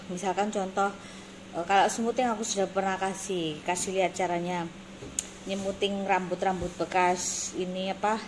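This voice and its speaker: A noisy phone recording made in a small room carries a woman in her thirties with an East Javanese accent. Her voice sits at a medium pitch. She explains things casually but emphatically, bluntly repeating herself to drive each point home. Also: a little mellow. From Indonesia. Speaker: beauty salon owner and course teacher. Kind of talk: casual vlog talking to camera. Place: small indoor salon office. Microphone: phone at arm's length.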